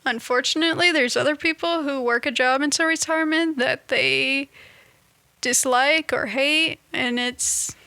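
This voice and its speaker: Young woman, 20s, vlogger; unhurried, stop-start delivery, dry close sound. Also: sits high, gravelly, bright, forceful tone, no room sound. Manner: halting, searching for words with many restarts. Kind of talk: casual reflective monologue.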